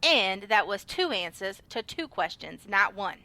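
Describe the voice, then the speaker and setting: A woman's voice: medium pitch, sing-song, a little slow, pushed and bright, no room sound, heavily acted. A woman playing a sassy, no-nonsense clerk with a Southern cowboy accent in a comic western audio drama. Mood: sassy, matter-of-fact. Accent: American Southern, Old West cowboy style.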